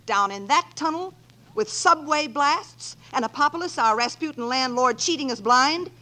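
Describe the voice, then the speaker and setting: Sardonic American career woman, swooping pitch. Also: animated, moderate pace, pushed and bright. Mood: indignant. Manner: dry, wisecracking delivery. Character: sardonic, quick-witted woman writer, weary older sister. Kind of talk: 1940s radio comedy-drama acting. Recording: noisy old 1940s radio recording with clicks.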